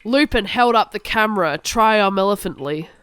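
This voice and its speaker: A woman's voice: mid-range voice, animated, unhurried, a bit bright, smooth, dry recording. A woman narrating a cartoon story in the first person as a melodramatic, angsty goth teenage girl.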